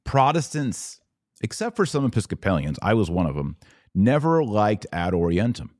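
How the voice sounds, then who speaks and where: A man's voice: fairly low pitch, very animated, normal speed, darkish tone, no echo, studio quality. A man hosting a podcast, explaining things to his online audience in a didactic but informal way, with casual asides. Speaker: podcast host and commentator.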